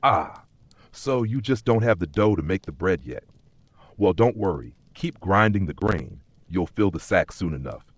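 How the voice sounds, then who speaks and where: A man voicing a mischievous, cryptic magical pub keeper in a dark fantasy audio story, teasing and speaking in playful riddles. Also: low voice, expressive, moderate pace, husky. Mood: amused teasing.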